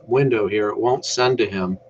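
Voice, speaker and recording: A man's voice: fairly low pitch, normal speed, muffled recording, slight background noise. A man presenting a casual screen-share tutorial in a laid-back, explanatory way. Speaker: amateur radio tutorial presenter.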